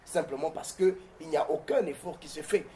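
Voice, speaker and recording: A pastor from southern Congo-Brazzaville, whose first language is Mbembe, answering interview questions in a declamatory, preacherly way with frequent hesitations. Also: male voice, on the high side, a little fast, dark tone, slight background noise. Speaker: pastor and guest. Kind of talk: interview answers turning to a prayer.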